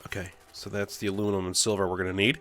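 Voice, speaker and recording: A man's voice: low-pitched, level tone, very fast, fairly bright tone, faint music behind. A man with a stuffy nose from a cold, casually thinking aloud as he plays and builds in a game. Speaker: let's play host. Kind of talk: casual gameplay commentary.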